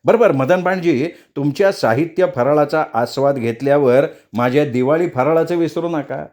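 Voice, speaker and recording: A man's voice: a little high, moderate pace, darkish tone, natural voice. A man voicing a greedy, scheming, freeloading neighbour who wheedles and mutters asides in a comic story. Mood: impatient.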